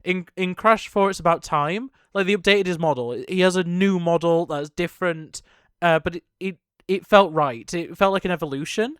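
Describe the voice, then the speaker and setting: Young adult man, high smooth voice, dry close sound, casual game trailer commentary, rambling, thinking aloud. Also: normal speed, fairly bright tone, no room sound. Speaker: video game trailer reactor. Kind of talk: casual off-the-cuff reaction commentary.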